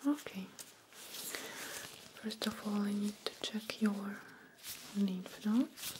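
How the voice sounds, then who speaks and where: A young woman in a soft-spoken ASMR medical role play, breathy and whispery, gentle and soothing, close to the microphone. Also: medium pitch, steady tone, slow pace, background noise. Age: young adult. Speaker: ASMR performer role-playing a doctor. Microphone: close binaural microphone.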